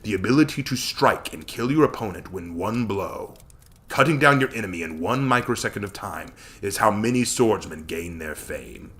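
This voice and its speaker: Man as a stern, measured sword master. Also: lowish voice, animated, a bit bright, voice pushed down. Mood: solemn, instructive. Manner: measured, solemn, instructive. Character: stern, wise sword master giving instruction. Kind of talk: dramatic audiobook character voice.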